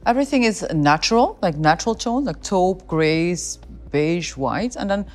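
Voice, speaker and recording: A woman's voice: lowish voice, animated, moderate pace, faint music behind. A woman from the Netherlands with a Dutch accent, giving casual, chatty interview answers as a homeowner.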